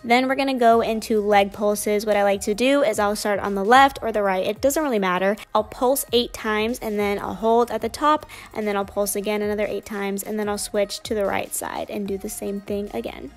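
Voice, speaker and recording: A woman's voice: mid-range voice, steady tone, moderate pace, hardly any pauses, soft background music. A young woman narrating her gym workout in a casual, upbeat voice-over. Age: young adult. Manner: casual, chatty, upbeat explaining. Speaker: fitness vlogger.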